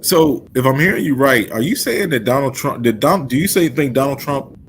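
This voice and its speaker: A man on a panel asking a measured, probing question. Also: medium pitch, animated, slight background noise. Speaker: panel member.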